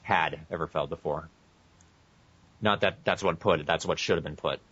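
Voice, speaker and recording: A very low-pitched man reads fan fiction aloud in a casual podcast style, stumbling over words and correcting himself. The recording is dull and lo-fi. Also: normal speed, a bit bright, husky. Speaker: podcast co-host. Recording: muffled, echoey lo-fi podcast audio.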